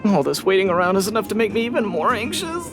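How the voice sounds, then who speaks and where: A timid, nervous video game character under suspicion. The voice is lively in pitch, hesitant and fretful, always apologetic, with faint music underneath. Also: male voice, fast. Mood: anxious, pleading. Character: timid, anxious, flustered suspect pleading innocence.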